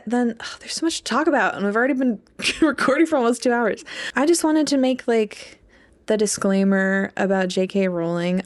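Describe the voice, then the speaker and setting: A young adult woman co-hosting a podcast in casual chat, rambling and peppering her talk with "like". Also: on the high side. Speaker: podcast co-host, English PhD student.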